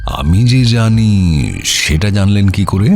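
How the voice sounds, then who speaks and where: An actor playing a courteous, uneasy landed gentleman in a radio drama, speaking in a measured, slightly hesitant way. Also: lowish voice, lively intonation, music in the background. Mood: guarded, uneasy. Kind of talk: Bengali radio audio drama acting.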